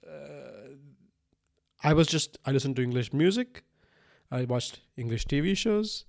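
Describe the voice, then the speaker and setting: Sri lankan guy in his 30s, native sinhalese speaker, rambly solo podcast chat, mid pitched, lots of like and restarts. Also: animated, measured pace, gravelly. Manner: rambling, repeats phrases and restarts, often says "like" and "right?". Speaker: podcast host. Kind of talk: casual unscripted solo monologue.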